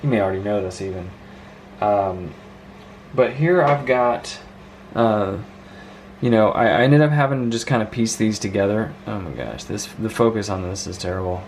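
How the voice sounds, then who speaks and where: A man's voice: on the low side, moderate pace, slight background noise. A man giving an informal, rambling hands-on show-and-tell as a hobbyist, with an enthusiastic, marveling manner. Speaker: vintage radio hobbyist presenting a video.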